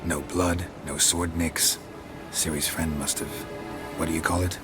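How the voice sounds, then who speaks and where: A man playing a gruff, stoic monster hunter in a video game, with terse, deadpan delivery and a gravelly voice. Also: very deep voice, unhurried. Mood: calm, matter-of-fact. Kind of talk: video game cutscene acting. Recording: loud music under the voice.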